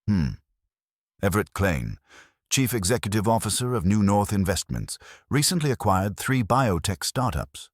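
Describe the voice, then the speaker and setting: A man's voice: fairly low pitch, sing-song, moderate pace, no room sound, studio quality, pitched above the performer's own voice. A man voicing an imperious, cerebral master detective with a formal, clipped way of pronouncing in an audiobook story. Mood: terse, commanding.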